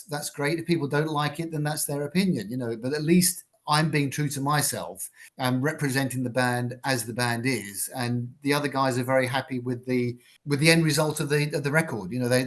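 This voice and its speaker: An older man from Britain, a guitarist and producer, giving thoughtful, rambling interview answers in a fairly low voice with many fillers.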